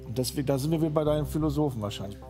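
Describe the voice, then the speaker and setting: A man's voice: on the high side, racing, over music. A man on a quiz show, talking in an easygoing, agreeable way with his teammate. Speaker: quiz contestant. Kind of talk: casual chat.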